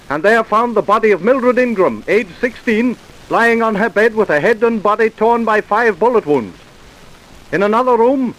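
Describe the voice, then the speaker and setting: High-pitched, solemn crime narrator. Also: male voice, poor audio. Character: solemn newspaper-style crime story narrator. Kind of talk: old-time radio scripted crime narration.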